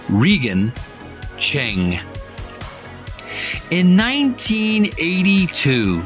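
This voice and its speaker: A man presenting a retro gaming channel with a deliberate, halting delivery. His pitch moves around in an animated way, and music plays behind him. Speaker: host of a video game channel. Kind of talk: casual intro and book reading aloud. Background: background music.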